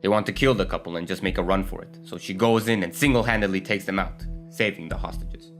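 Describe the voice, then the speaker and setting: A man's voice: sits low, fast talker, over music. A man telling a scripted story as its narrator.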